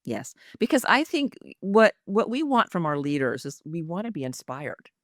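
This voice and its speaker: A woman's voice: on the low side, very animated, normal speed, no echo, clean studio sound. A woman who is an executive communication coach speaks expressively and emphatically in a podcast interview, with the practiced delivery of a trained actress.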